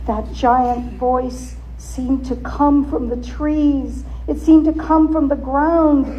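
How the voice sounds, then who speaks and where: A woman giving a theatrical, suspenseful dramatic reading of a story, with deliberate emphasis. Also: high-pitched, fairly even intonation, warm tone, slight background noise, low-quality recording. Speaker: author and storyteller.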